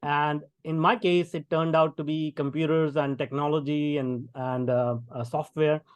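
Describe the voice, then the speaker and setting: A man's voice: a little high, level tone, moderate pace, clean tone, dry recording. Indian man, dry close sound. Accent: Indian English. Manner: conversational, many fillers and restarts. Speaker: guest, tech founder. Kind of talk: podcast interview.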